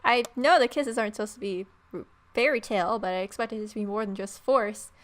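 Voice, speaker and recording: A woman's voice: mid-range voice, fairly quick. A woman hosting a gaming video, giving flippant, sarcastic commentary and improvised replies to characters.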